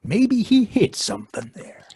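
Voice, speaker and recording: A man acts out a folksy, grumbling farm husband in an old-time radio play. His voice is husky and swings widely in pitch. He takes his time, muttering and stammering in a dry recording. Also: sing-song, unhurried, dark tone, no room sound, full character voice. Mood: muttering, curious. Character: excitable, grumbling farmhand husband Herman, rural and superstitious. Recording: dry live video-call recording.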